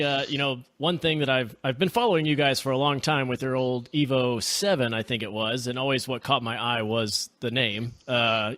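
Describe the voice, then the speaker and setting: A man's voice: medium pitch, fairly bright tone, dry recording. A man hosting a podcast interview, chatty with many fillers and easy laughter. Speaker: podcast host.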